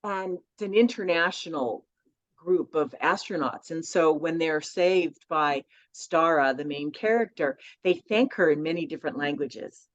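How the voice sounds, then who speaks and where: A woman author as a podcast guest, telling stories in a lively way with many fillers. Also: sits low, a little slow. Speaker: children's book author and guest. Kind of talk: conversational podcast interview. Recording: dull lo-fi audio.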